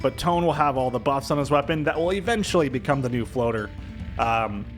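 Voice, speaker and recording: A man's voice: medium pitch, lively intonation, brisk. A man giving casual video game commentary, thinking aloud as he weighs his options. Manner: thinking aloud, indecisive musing. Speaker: video game streamer doing a let's play. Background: video game music.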